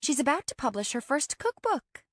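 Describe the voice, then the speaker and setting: A woman voicing a bubbly, sociable young woman with a bright, upbeat manner in an audiobook. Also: high-pitched, big pitch swings, moderate pace, no echo, voice pushed up. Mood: bright, proud.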